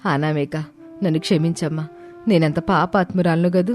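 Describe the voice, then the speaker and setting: A woman's voice: deep voice, steady tone, moderate pace, a little mellow, lightly acted. A woman voicing an emotional, remorseful older mother-in-law in a dramatized moral story. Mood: tearful remorse. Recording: music bed under the voice.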